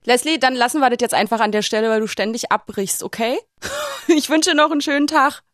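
A woman's voice: fairly high pitch, fast, a bit bright, dry recording. A woman hosting a radio phone-in, talking casually into a close studio microphone. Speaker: radio host of a phone-in show. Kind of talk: casual talk radio moderation.

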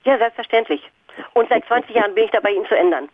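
Eine Frau in ihren Fünfzigern aus Berlin ruft in einer Radiosendung an und erzählt überschwänglich, stockend, mit vielen Füllwörtern. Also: female voice, fairly high pitch, animated, noisy background. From Berlin, Germany. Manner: effusive, halting, many fillers. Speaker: radio caller. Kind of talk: phone-in chat. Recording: tinny phone line.

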